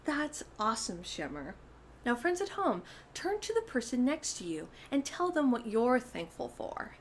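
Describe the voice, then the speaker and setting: A woman's voice: on the high side, sing-song, moderate pace, slight background noise. A woman hosting a storytime for young children, reading aloud expressively and speaking directly to her listeners. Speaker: children's storytime host and reader.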